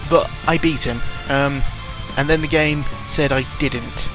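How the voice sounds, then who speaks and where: A man's voice: fairly high pitch, steady tone, unhurried. A young man ranting casually about video games, exasperated and full of fillers. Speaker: gaming vlogger. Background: video game music.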